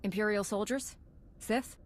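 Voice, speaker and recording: A woman's voice: mid-range voice, unhurried, natural voice. A woman playing a composed, dutiful Jedi Knight commander in a video game, speaking in a level, direct and earnest way. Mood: focused, direct. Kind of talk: video game cutscene voice acting. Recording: clear music behind the voice.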